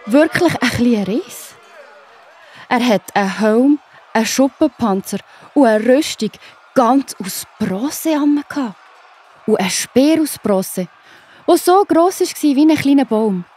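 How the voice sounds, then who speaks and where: A woman's voice: high-pitched, a little mellow, breathy edge. A woman with a Swiss German accent narrating a scripted children's story expressively.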